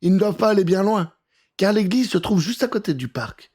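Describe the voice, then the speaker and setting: A man narrating a children's story in an expressive, theatrical and conspiratorial way, speaking straight to the listener. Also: high-pitched, a little fast, breathy edge. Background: music.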